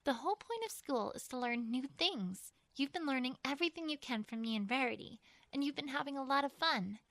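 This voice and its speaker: Woman as a high-voiced, caring pony guardian. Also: animated, a little fast, no echo. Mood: patient, firm. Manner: reassuring, gently instructive. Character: caring, sensible young female pony guardian. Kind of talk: acted audiobook dialogue.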